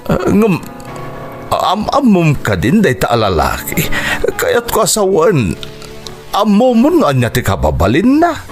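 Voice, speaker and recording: Anguished Ilocano father, slow, dramatic swoops. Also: high voice, huge pitch swings, soft background music, heavily acted. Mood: dismayed, questioning. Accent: Ilocano Filipino. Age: middle-aged. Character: anguished, protective father of a grown daughter. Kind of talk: Filipino radio drama acting.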